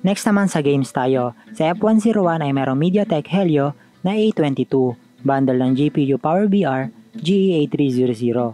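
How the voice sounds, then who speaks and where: A man's voice: a little high, animated, moderate pace, warm tone, soft background music. A man with a Filipino accent giving a conversational, scripted voice-over as a tech review vlogger.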